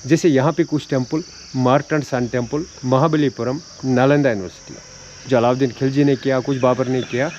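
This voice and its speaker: A man's voice: medium pitch, steady tone, brisk, a little mellow, dull audio, slight background noise. A man working as a local guide, explaining the history of the ruins outdoors, calling the listener sir and listing dates and dynasties.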